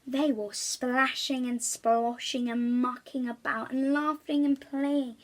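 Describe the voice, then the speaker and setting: A woman's voice: high voice, steady tone, takes it slowly. A woman reading a children's storybook aloud, expressively, stressing key words.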